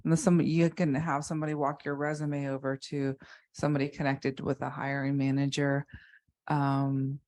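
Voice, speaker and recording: Low voiced woman answering on a panel, halting, repeats words a lot. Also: level tone, darkish tone.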